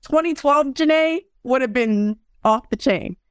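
A woman's voice: high voice, unhurried, clean tone, no echo, studio quality. A young woman, a guest in a casual interview, telling stories and acting out what people said. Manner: animated storyteller, acts out quotes.

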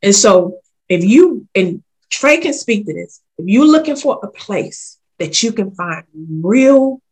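A woman's voice: medium pitch, slightly rough, rough recording. Candid woman in her forties. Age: 40s. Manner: lively, talks straight from the heart, calls listener honey. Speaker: ministry leader, guest. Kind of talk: casual interview chat.

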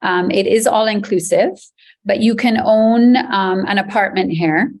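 A woman's voice: medium pitch, unhurried. A woman in her forties, a real estate agent, answering interview questions in a chatty way with frequent ums, on a video call from a beachfront apartment. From Barbados.

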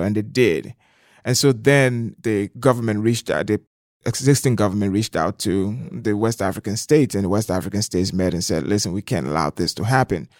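Low, gravelly man. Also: darkish tone, dry recording, clean studio sound. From southeastern Liberia. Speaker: interview guest. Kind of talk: conversational interview, explaining history.

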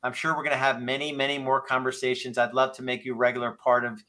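A man around fifty hosting a casual podcast interview, speaking in an earnest, rambling and halting way. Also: mid-range voice, little pitch movement, pushed and bright. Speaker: podcast host.

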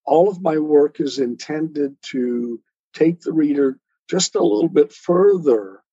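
A man with a husky, slightly dark voice talks reflectively as a guest author. He explains things in a conversational way. The sound is dry and somewhat muffled. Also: a little high, lively intonation, unhurried, no room sound. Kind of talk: reflective podcast interview talk. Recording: dull, muffled lo-fi audio.

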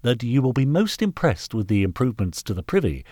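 A man's voice: mid-range voice, sing-song, brisk, darkish tone, slightly rough, dry recording, studio quality, pitched above the performer's own voice. A man voicing a timid, obsequious Victorian workhouse master in an audiobook, deferential and flustered in manner. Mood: eager to please. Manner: stammering, blustering, deferential. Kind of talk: dramatised audiobook character reading.

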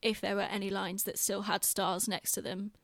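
A woman's voice: mid-range voice, little pitch movement, normal speed, dry recording, studio quality. A British woman chatting casually on a podcast in an informal, self-deprecating way. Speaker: podcast host and theatre director.

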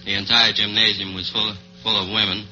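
Low-voiced American man, old radio contestant. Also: little pitch movement, fairly quick. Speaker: quiz contestant. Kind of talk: casual on-stage interview answers. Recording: old radio broadcast, narrow muffled band, music.

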